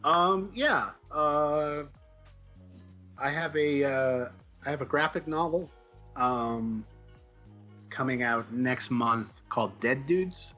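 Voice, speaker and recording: A man with a very bright, forceful voice talks as a guest in a casual interview. He tells stories in a rambling, wry way, over a faint bed of music. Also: medium pitch, slow pace, pauses often. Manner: rambling, wry, informal storytelling. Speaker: guest comics writer.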